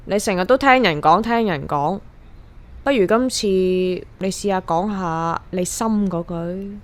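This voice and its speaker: A woman's voice: on the low side, unhurried, close to an everyday voice. An actress with a Hong Kong Cantonese accent playing an earnest young woman fed up with her mortgage struggle in a radio drama. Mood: worried.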